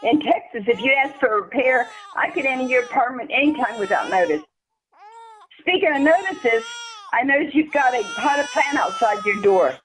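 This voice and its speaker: A woman's voice: high voice, expressive, normal speed, fairly bright tone, slight background noise, low-quality recording. A woman playing a greedy, officious property manager in an amateur radio play, smug and falsely sympathetic. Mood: smug, pouncing.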